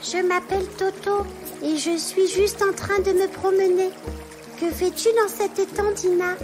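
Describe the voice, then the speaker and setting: A woman's voice: very high voice, flat delivery, measured pace, music in the background, pitched above the performer's own voice. A woman voicing a small, curious young male triceratops, cheerful and adventurous, in a children's storybook tale.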